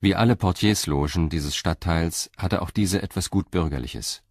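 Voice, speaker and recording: Deep-voiced radio play narrator. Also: male voice, moderate pace, slight background noise. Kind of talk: scripted narration.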